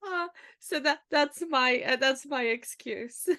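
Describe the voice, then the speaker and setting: A woman's voice: sits high, sharp tone. Polish-accented woman chatting reflectively. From Poland. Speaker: astrology podcast co-host. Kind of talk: casual reflective chat.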